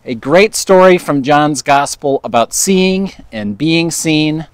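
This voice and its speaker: A man, a pastor, giving a relaxed, chatty welcome outdoors on a snowy patio, filmed by a camera set back from him. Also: a little high, slow, smooth, no echo. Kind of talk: casual church welcome.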